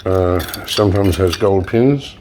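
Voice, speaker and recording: A man hosting a recycling channel, talking casually while taking apart electronics, slightly hoarse and pausing with fillers. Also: deep voice, slight background noise. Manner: relaxed, explains while working, frequent fillers and restarts. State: slightly hoarse, clearing throat. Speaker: e-waste recycling channel host. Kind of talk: casual hands-on demonstration.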